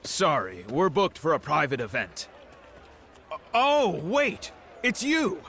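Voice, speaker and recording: An actor playing a flustered, obsequious host who greets guests in a video game cutscene. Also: male voice, fairly high pitch, huge pitch swings, takes it slowly, pushed and bright, slight background noise, full character voice.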